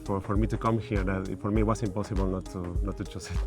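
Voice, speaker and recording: Low-voiced man over music. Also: fairly even intonation, quick pace, gravelly. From Peru. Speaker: graduate student. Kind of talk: testimonial interview. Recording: music bed under voice. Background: background music.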